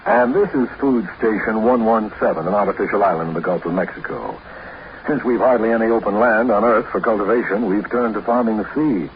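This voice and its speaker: Man acting as formal, low-voiced Earth official, old radio. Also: fairly quick, close to an everyday voice. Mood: earnest. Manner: formal, explanatory. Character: earnest, courteous Earth official guiding a visiting envoy. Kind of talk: 1950s radio drama acting. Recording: muffled, noisy 1950s radio recording.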